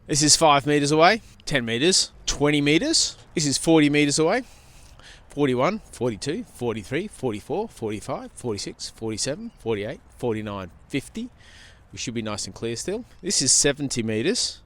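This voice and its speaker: A man's voice: fairly high pitch, big pitch swings, moderate pace, a bit bright. A man talking casually to camera as a product reviewer, informal and repeating himself, speaking into a handheld wireless microphone. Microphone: handheld wireless interview microphone.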